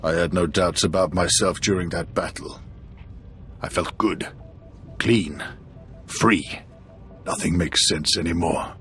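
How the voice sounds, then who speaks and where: Game role: guilt-ridden former dark knight, very low grave voice, brooding and confessional, music beneath. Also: male voice, steady tone, slow, pauses often. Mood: troubled. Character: tormented repentant dark Jedi, former Imperial, guilt-ridden man. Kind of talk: video game cutscene acting.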